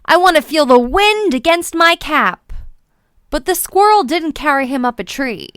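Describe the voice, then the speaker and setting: A woman's voice: on the high side, a bit bright, smooth, no echo, studio quality. A woman narrating a children's storybook expressively, with playful, dramatic emphasis. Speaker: children's book read-aloud presenter.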